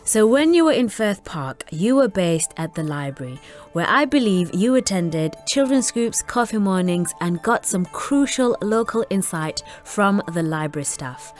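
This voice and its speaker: A woman's voice: medium pitch, big pitch swings, a little slow, faint music behind. Woman with mixed Zimbabwean-Yorkshire accent. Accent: Zimbabwean and South Yorkshire mix. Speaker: interviewer. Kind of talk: scripted interview questions.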